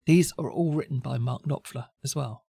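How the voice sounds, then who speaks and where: A woman's voice: very deep voice, normal speed, vocal fry, dark tone, breathy edge, no echo, clean studio sound. A woman hosting a reaction video, talking in a rambling, self-correcting chatty way while stuffed up with a cold and a sore throat. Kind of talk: casual chatty commentary.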